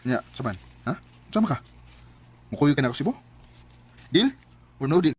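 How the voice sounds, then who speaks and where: An actor playing a breezy, persuasive construction-worker pal in a Bisaya radio drama, with a Filipino accent. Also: male voice, fairly high pitch, big pitch swings, normal speed, over loud music. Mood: persuasive, upbeat. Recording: clearly noisy drama recording.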